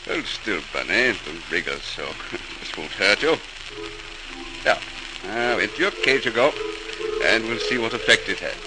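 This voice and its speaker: An actor playing a gentle research scientist in a 1940s radio drama, speaking in a soft, coaxing manner. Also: male voice, fairly low pitch, expressive, unhurried, long pauses, loud music behind the voice. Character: gentle, kindly research scientist soothing a lab animal. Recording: noisy old transcribed radio recording.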